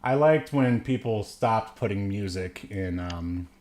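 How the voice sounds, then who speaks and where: A man's voice: fairly low pitch, animated, a little slow, darkish tone, slight background noise. A man co-hosting a podcast, giving a casual, comedic movie recap in a rambling, jokey way with frequent chuckles. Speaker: podcast co-host reviewing films.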